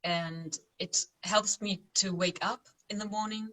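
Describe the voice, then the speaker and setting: A woman from Austria, a guest sharing her experience over a video call, earnest and halting, repeating words as she searches for them. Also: medium pitch, fairly even intonation, fairly bright tone, low-quality recording. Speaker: guest, former program participant.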